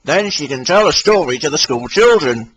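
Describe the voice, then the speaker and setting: A man's voice: a little high, animated, normal speed, fairly bright tone, a little hiss or hum, rough recording. A man voicing a kindly, stout male railway boss in a storybook, speaking in a firm, fatherly way.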